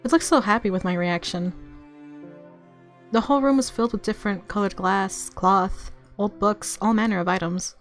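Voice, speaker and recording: A woman reading a visual novel aloud as expressive story narration. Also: medium pitch, moderate pace, soft background music.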